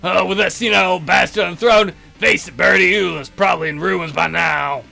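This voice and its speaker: A man's voice: high-pitched, steady tone, brisk, vocal fry, pushed and bright, soft background music, voice pushed down, full character voice. A man voicing a crude, lecherous guard dog with a coarse, sneering, loutish delivery for a visual novel. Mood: bitter, sneering. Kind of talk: visual novel character voice-over.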